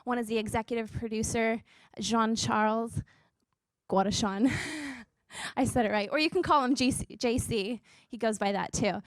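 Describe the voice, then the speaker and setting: A woman introducing the speakers at a conference in a bright, upbeat announcing manner. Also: a little high, moderate pace, no echo. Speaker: conference emcee. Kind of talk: stage introduction.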